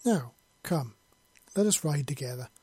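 A mysterious woman in a fantasy audio story, put on by a man. The voice is lifted and a little husky, playful and gracious with complete assurance, in a dry recording. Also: a little high, animated, a little slow, mellow, dry recording, full character voice. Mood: playful, assured. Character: mysterious, playful, confident beautiful dark-haired young woman.

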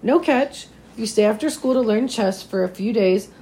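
Woman reading as kind, slightly hoarse male schoolteacher. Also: on the high side, moderate pace, warm tone, natural voice, low-quality recording. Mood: coaxing. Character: kind, encouraging male schoolteacher, gently persuasive.

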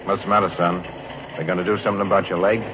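An actor playing a kindly, chatty middle-aged traveling salesman with a folksy, avuncular, unhurried manner in 1940s radio drama. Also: low voice, flat delivery, natural voice. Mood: sympathetic, curious. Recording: noisy muffled old radio recording.

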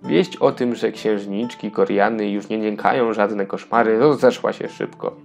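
A man reads a children's tale as narrator. His voice is on the low side and gravelly, over faint background music. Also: steady tone, moderate pace, darkish tone. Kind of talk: scripted children's storybook narration.

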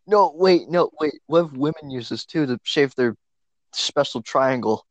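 A man's voice: medium pitch, expressive, unhurried, no room sound. A man co-hosting a casual podcast, cracking crude jokes and doing mock ad reads. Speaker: podcast co-host. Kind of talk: casual chat. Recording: dry, dull lo-fi audio.

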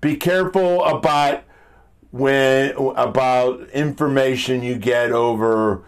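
A man with a deep, powerful voice giving an informal motivational talk in an emphatic, halting, blunt way, often repeating himself. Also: smooth. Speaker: motivational speaker. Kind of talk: informal motivational monologue with rhetorical questions.

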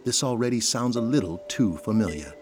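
A man's voice: on the low side, lively intonation, brisk, darkish tone, slight background noise, lightly acted. A man narrating an audio drama in a descriptive, dramatic scripted style.

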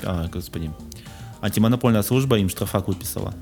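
A man's voice: on the low side, fast talker, vocal fry, a little mellow, faint music behind. A young man co-hosting a casual news podcast, joking around and stumbling a little as he reads items out. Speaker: podcast co-host. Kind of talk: casual chat about news, reading items aloud.

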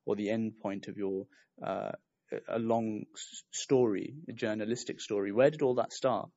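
A man with a fairly low voice hosts an interview, circling his questions with plenty of fillers and restarts. His audio sounds slightly dull and muffled, as if over a remote link. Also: fairly even intonation, moderate pace, mellow. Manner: hesitant, many fillers and restarts. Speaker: podcast interviewer.

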